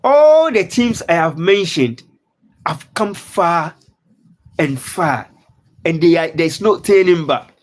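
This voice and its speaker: A man's voice: on the high side, expressive, slow, pauses often. A man from Ghana hosting a live stream, talking casually about football and reading out viewers' comments. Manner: repetitive, earnest, reads out viewer comments. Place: lives in Dubai.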